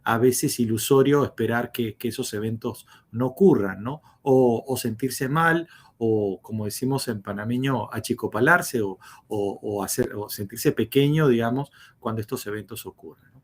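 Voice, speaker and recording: A man tells his life story as a podcast guest, pausing often on fillers and restarting his sentences. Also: medium pitch. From Uruguay. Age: 50s. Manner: hesitant, many fillers and restarts.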